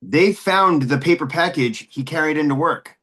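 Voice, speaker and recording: A man's voice: fairly high pitch, moderate pace, a bit bright. A man playing an angry, confrontational bully in a screenplay table read, with a blunt, accusatory manner. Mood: angry, accusing.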